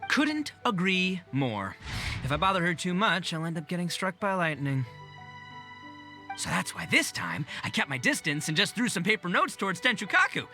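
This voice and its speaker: A man's voice: high voice, very animated, normal speed, music in the background. A man voicing a boisterous, cocky but good-natured young gang boss in a video game, with slangy, swaggering talk. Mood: disappointed.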